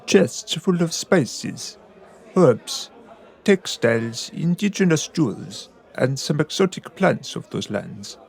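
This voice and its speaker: An actor playing an ailing nobleman back from his travels in a radio drama, husky, gravelly and hesitant. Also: on the high side, big pitch swings, slow pace, full character voice. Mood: wary.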